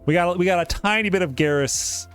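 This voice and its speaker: A man's voice: sits high, brisk, a bit bright, clean tone, soft background music. A man giving casual, digressive gaming commentary, joking around and reading on-screen text aloud. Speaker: game playthrough host.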